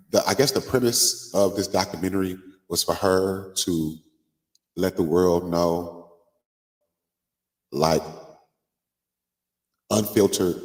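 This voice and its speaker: Deep-voiced congested man chatting live. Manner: chatty, drawn-out fillers like "like" and "um". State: congested from a cold. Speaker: live stream host. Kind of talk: casual live stream chat. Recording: long room reverb.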